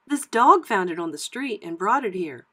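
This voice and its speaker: A woman's voice: mid-range voice, sing-song, normal speed, pushed and bright, breathy edge, full character voice. Woman as a friendly, hearty policeman. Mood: helpful. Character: friendly male police officer in a storybook.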